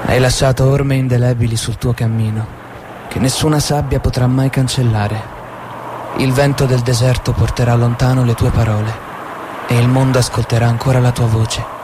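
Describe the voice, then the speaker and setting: An actor playing a calm spirit guide who consoles the dead, speaking solemnly in a poetic stage-drama style. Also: male voice, medium pitch, moderate pace, lightly acted. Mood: solemn, consoling. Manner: solemn, reassuring. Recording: clearly noisy, outdoor-like background.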